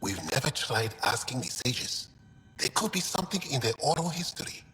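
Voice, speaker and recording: Earnest alien scientist, reverberant. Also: male voice, mid-range voice, sing-song, normal speed, a bit bright, slight background noise. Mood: earnest, concerned. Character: earnest young male alien scientist, thoughtful and unsure. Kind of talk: video game dialogue acting. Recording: long reverb, music underneath.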